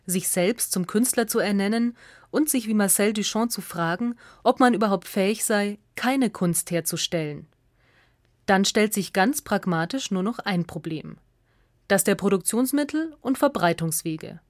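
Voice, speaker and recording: A woman's voice: medium pitch, animated, normal speed, no room sound. Dry-studio woman narrator. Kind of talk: scripted radio essay narration.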